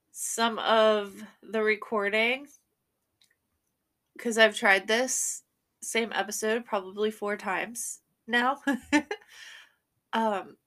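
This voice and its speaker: A congested woman hosting her podcast in casual chat, nervous, with many fillers and drawn-out vowels. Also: a little high, pushed and bright. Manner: laughs between sentences. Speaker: podcast host.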